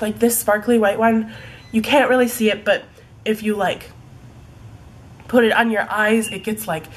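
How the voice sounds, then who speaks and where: A young woman with a stuffy, congested voice from a cold, chatting casually about her favorite products, full of likes and ums. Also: medium pitch. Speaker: beauty vlogger. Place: home room in fading daylight.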